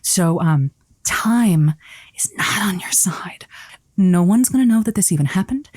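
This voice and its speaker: A woman's voice: on the low side, lively intonation, measured pace, a little mellow, no room sound. A woman playing a well-meaning, easily flustered radio advice host in a comedy show, hesitant and diplomatic as she talks. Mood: coaxing. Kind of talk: improvised comedy call-in show acting.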